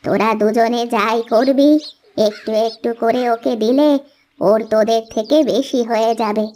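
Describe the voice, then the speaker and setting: Woman acting village mother, high voice, gentle, wise, admonishing, children's cartoon, faint noise with birds. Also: steady tone, a little slow, lightly acted. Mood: explaining patiently. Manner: soothing, admonishing. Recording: faint noise with bird chirps.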